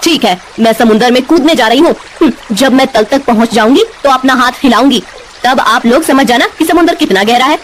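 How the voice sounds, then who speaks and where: Woman acting a high-voiced, confident serpent queen. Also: brisk, slight background noise, lightly acted. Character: proud, eager shape-shifting serpent queen, young female.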